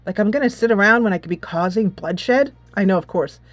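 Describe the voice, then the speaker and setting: A woman's voice: medium pitch, fairly quick, dry recording, soft background music, close to an everyday voice. A woman voicing a brash, bloodthirsty woman fighter in a fantasy game, with a scornful, defiant manner.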